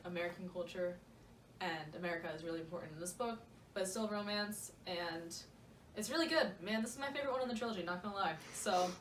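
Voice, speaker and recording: A young woman chats about books as if with a close friend, gushing and slangy, leaning hard on her words. She sounds a little distant from the microphone in an echoey room, with faint noise underneath. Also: mid-range voice. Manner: gushing, slangy, emphatic, playful. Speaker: book vlogger, co-host. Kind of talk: casual chatty book discussion.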